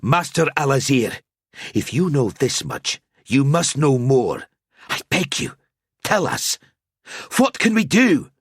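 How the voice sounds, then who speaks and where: A man's voice: medium pitch, big pitch swings, a little slow, a bit bright, no room sound, clean studio sound, pitched above the performer's own voice. A man voicing a stubborn, brave male warrior who pleads and demands with urgent force. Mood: defiant.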